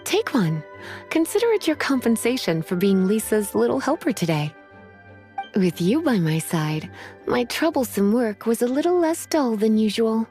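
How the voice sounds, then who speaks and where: Poised, high-voiced teasing young librarian. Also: female voice, very animated, moderate pace, a little mellow, faint music behind. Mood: teasing, pleased. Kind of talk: English video game voice acting.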